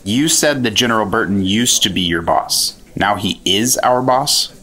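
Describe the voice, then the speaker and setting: Radio-play sidekick: bitter American junior agent, impatient and sullen, husky lower voice. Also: male voice, animated, a little slow, pushed and bright, full character voice. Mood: impatient, challenging. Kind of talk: 1950s radio drama acting.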